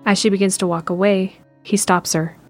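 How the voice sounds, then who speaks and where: A woman narrating a scripted audio drama in a measured, descriptive way. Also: mid-range voice, level tone, soft background music.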